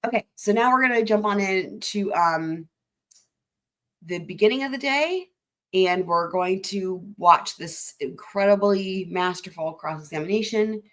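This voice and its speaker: A woman hosting a live stream, chatting excitedly and directly to her viewers about courtroom clips. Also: mid-range voice, a little slow. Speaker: livestream host. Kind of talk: casual live commentary.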